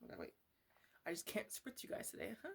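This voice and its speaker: A woman playing an eccentric, defensive botanist in an ASMR roleplay, rambling haltingly and repeating herself as she fusses over her plants. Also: a bit bright, slight background noise, lightly acted. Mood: defensive, coaxing. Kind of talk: ASMR roleplay, casual improvised chatter to plants.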